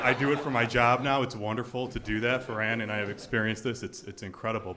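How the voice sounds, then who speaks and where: A man's voice: medium pitch, sharp tone. A man speaking on a panel, reflective and repeating words as he thinks. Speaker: alumni panelist. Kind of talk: panel talk at a keynote.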